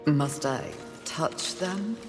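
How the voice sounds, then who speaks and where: A woman's voice: deep voice, drawn-out words. An actress playing a haughty female horseman of the apocalypse, imperious and sardonic in a fantasy game. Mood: exasperated. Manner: imperious, sardonic, coldly menacing. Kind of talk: dramatic fantasy game acting. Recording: echoing hall under game music.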